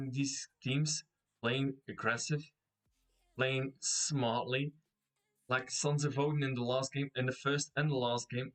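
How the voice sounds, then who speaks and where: A man's voice: medium pitch, fairly bright tone. Hesitant man, English not his first language. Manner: hesitant, many restarts and fillers, laughs often. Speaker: esports caster. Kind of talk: casual esports commentary.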